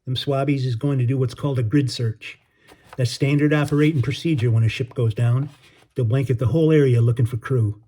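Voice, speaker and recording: A man doing a gruff New York sailor. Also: mid-range voice, steady tone, fairly quick, warm tone, close to an everyday voice. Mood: reassuring. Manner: gruff slangy scolding. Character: tough streetwise male sailor, gruff, blunt.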